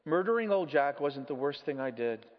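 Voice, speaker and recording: Male reader as a redeemed storybook soul, gentle and matter-of-fact, muffled reverberant sound. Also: a little high, lively intonation, normal speed, lightly acted. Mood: frank, humble. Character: serene, smiling redeemed male spirit, mirthful and frank. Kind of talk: dramatic storybook reading. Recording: muffled, echoey large hall.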